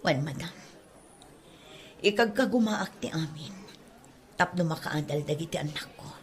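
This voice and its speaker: A woman's voice: low-pitched, expressive, a little slow. A woman playing a humble, weary, devoted mother in a radio drama, speaking earnestly and plaintively. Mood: sorrowful, weary. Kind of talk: radio drama acting in Ilocano.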